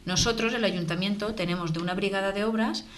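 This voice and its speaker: A woman's voice: mid-range voice, quick pace, crowd noise. A woman with a Valencian accent, a town councillor speaking earnestly and with indignation in a local TV debate. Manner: earnest, indignant, keeps explaining.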